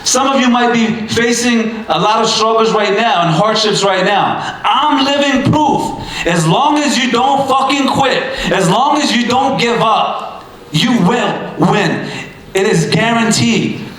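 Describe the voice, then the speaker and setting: A man in his thirties with a high-pitched voice delivers a fired-up motivational speech, hammering lines home through repetition and the odd shout. The sound rings around a very echoey hall. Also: a little slow. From Bangladesh. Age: 30s. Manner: emphatic, punchy repetition, sometimes shouting. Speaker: motivational speaker. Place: event stage in a large hall.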